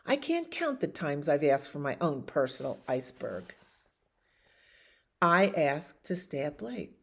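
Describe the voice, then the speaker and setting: A woman's voice: deep voice, big pitch swings, measured pace, gravelly, full character voice. A woman voicing an indignant, whining pigeon in a children's storybook, complaining theatrically. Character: indignant, whining, dramatic pigeon who loves cookies. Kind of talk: children's storybook read-aloud.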